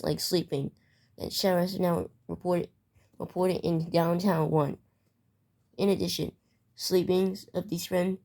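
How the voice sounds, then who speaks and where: A woman's voice: on the low side, mellow. A woman delivering an amateur mock emergency broadcast as a news anchor, stumbling and repeating phrases as she goes.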